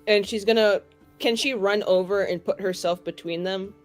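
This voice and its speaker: Tentative woman player, middle pitch. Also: steady tone, normal speed, soft background music. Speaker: tabletop game player. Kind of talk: casual improvised description of character actions.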